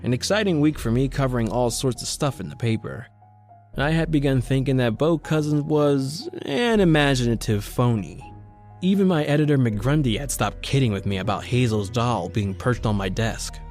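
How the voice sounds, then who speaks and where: An actor playing a determined, hard-boiled newspaper reporter, earnest and clipped, in an old-time radio noir style. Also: male voice, medium pitch, lively intonation, moderate pace, darkish tone, soft background music, close to an everyday voice. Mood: tense narration.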